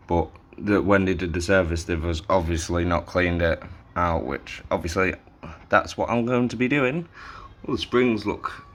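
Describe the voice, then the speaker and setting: A man with a low-pitched voice narrates a hands-on repair job in a casual way. He thinks aloud as he works, often halting mid-sentence to find the next word, like an informal host showing each step. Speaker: host of a tape deck repair video.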